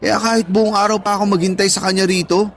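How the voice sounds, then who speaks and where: A man's voice: high voice, little pitch movement, quick pace. A man playing an earnest, lovestruck young man in a Tagalog radio drama, speaking conversationally. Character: earnest lovestruck young man waiting for his online girlfriend.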